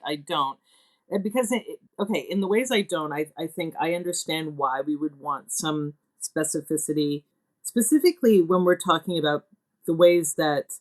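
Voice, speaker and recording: A woman interviewed as a theatre artist, reflective and hesitant, working through her thoughts as she speaks. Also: deep voice. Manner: hesitant, deliberating, self-questioning.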